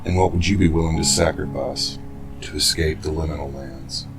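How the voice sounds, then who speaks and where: Male narrator, low register, dramatic scripted trailer read over clear music. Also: steady tone, moderate pace.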